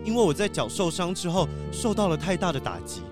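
An actor voicing a nervous, guilt-ridden young cheetah athlete in a children's audio drama, halting and downcast. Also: mid-range voice, big pitch swings, music in the background, close to an everyday voice. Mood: ashamed, sorrowful.

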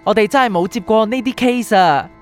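A woman's voice: a little high, a little fast, close to an everyday voice. A woman playing a polite, evasive memory doctor in a radio drama, formal and deferential, with a Hong Kong Cantonese accent. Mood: apologetic, deferential. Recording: music bed behind the voice.

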